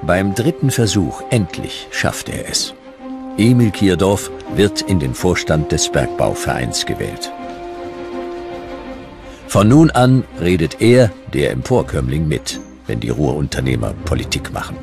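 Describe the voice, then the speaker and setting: Deep male doc narrator, animated intonation, dramatic history storytelling, music under it. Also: measured pace, vocal fry. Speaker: documentary narrator. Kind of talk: scripted history documentary narration.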